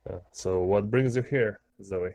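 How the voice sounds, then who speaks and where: A man's voice: lowish voice, measured pace, dark tone, dry recording. A man playing a tabletop roleplaying game, speaking casually in short, laconic replies. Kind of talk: casual in-character chat.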